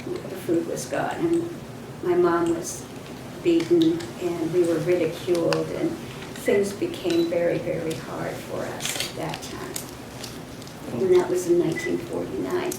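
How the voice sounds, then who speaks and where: A woman in her sixties, born in Poland with Polish as her first language, telling a halting, reflective childhood story in an interview. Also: fairly low pitch, vocal fry. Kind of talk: oral history recollection. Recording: echoey room, distant mic, background noise.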